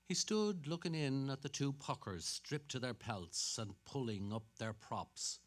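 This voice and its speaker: A man's voice: mid-range voice, a little slow. A man narrating literary fiction as a dramatic reading.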